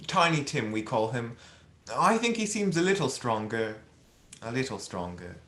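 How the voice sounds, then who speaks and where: A man's voice: medium pitch, big pitch swings, moderate pace, fairly bright tone. A man playing a humble, kindly clerk and family man, deferential and hesitant, in a radio play. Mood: polite, gentle. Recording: roomy, echoing amateur recording.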